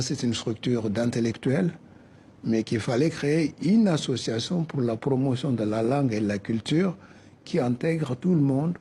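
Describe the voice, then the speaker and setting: A man in his seventies, a native Soninke speaker originally from West Africa, answering as the guest of a TV interview in a measured, earnest way. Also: mid-range voice, dark tone, a little hiss or hum. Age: 70s. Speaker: association president, guest.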